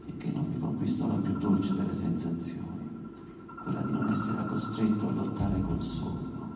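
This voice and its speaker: A man giving a measured, solemn literary reading as a performing poet, with a theatrical manner. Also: deep voice, little pitch movement. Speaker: poet performing own text. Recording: very echoey hall, distant mic, noisy.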